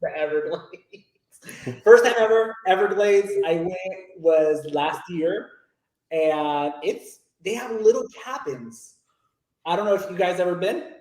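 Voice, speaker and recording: A young man from Miami tells stories on a video call, his voice sitting a little high and bouncing around an echoey room. He is chatty and laughs easily as he speaks. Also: expressive, a little slow, darkish tone. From Miami, Florida. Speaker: guest, loan originator. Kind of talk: casual video chat interview.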